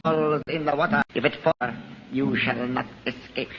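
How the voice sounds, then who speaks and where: An actor playing a menacing cobra cult priest in an old-time radio melodrama, with solemn, pompous, ceremonial phrasing. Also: male voice, medium pitch, steady tone, moderate pace, slight background noise, lightly acted. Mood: cold menace. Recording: muffled old radio recording, long reverb, music.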